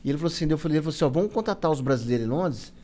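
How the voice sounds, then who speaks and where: A man's voice: on the high side, lively intonation, very fast, dark tone. A Brazilian man telling personal stories as an interview guest, in a colloquial, casual way with lots of quoted dialogue. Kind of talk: casual anecdotal storytelling.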